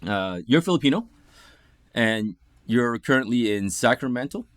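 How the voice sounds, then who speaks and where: A man's voice: mid-range voice, animated, normal speed, no echo. Upbeat chatty man hosting an interview. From Ontario, Canada. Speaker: interview host. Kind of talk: casual interview chat. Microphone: video call setup.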